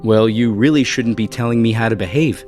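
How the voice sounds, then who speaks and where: A man's voice: on the low side, fairly quick, darkish tone, soft background music, close to an everyday voice. A man playing a defiant, guarded adult heir in an audio soap opera, curt and dismissive. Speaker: actor.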